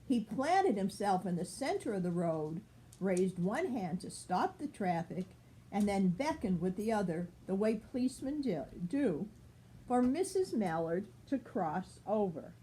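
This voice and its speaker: An older woman, a grandmother, reading a children's picture book aloud with expressive, deliberate phrasing. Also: mid-range voice, slight background noise, low-quality recording.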